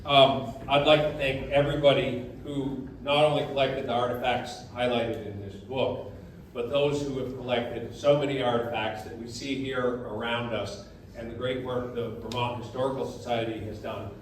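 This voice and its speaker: A man with a mid-pitched voice gives an informal speech of thanks as a guest at a museum. The space is heavily reverberant and he is picked up from some distance. Faint noise sits under the recording. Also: fairly even intonation, moderate pace. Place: indoors in a museum. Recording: very echoey room, distant mic, faint noise.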